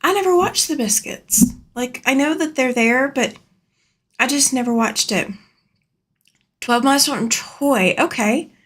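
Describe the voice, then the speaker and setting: A woman's voice: high voice, a little slow, a bit bright, slightly rough. Chatty woman live-streaming. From Alabama. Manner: chatty, rambling, answers viewer comments aloud. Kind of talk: casual live-stream chat. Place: home sewing room.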